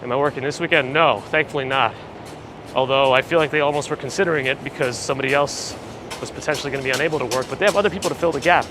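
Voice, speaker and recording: A man hosting a live walking stream, chatting casually and reading viewer comments with dry asides; his voice is a little phlegmy and gravelly. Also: mid-range voice, racing, music in the background. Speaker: livestream host. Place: walking outdoors on city streets. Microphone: handheld gimbal camera rig with recorder. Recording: street noise behind the voice. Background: traffic and city ambience.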